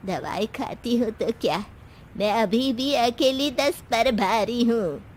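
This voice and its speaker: A woman voicing a bossy, teasing elderly mother-in-law in an animated Hindi story, boastful and fearless. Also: on the high side, takes it slowly, slight background noise. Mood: scolding. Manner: bantering, boastful, scolding.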